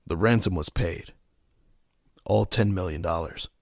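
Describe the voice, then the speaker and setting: A man playing a grim masked vigilante detective in an audio drama, terse and stern, with a gravelly, husky voice. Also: sits low, measured pace, very noisy. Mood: brooding. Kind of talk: audio drama narration and dialogue.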